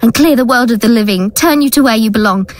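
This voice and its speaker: A woman's voice: fairly high pitch, fast, dry recording, natural voice. A woman playing a nervous, earnest girlfriend dabbling in ghost-banishing spells in an audio drama. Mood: desperate chanting. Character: anxious abused girlfriend turned ghost-banishing conjurer.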